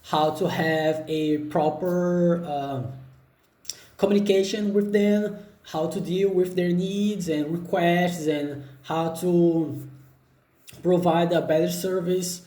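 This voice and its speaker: Man, 20s, Brazilian Portuguese accent, mellow, hesitant fillers, echoey laptop recording. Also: high voice, steady tone. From northeast Brazil. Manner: unhurried, hesitant with frequent fillers and restarts. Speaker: job seeker. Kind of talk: self-introduction video.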